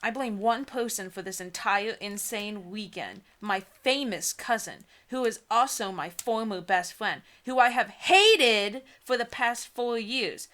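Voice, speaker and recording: A woman's voice: fairly high pitch, expressive, a little slow, hardly any pauses, sharp tone. A woman co-hosting a comedy book reading, reading aloud mock-dramatically and breaking into casual, giggly asides.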